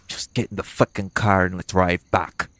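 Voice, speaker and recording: A man's voice: low-pitched, level tone, normal speed, fairly bright tone, no room sound. A man playing a cocky, teasing, foul-mouthed hitman in an audio roleplay. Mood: pained, angry. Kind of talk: audio roleplay acting addressed to the listener.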